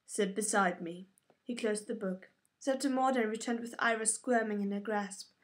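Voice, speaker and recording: Woman, audiobook narrator, fairly high voice, scripted storytelling. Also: steady tone, moderate pace.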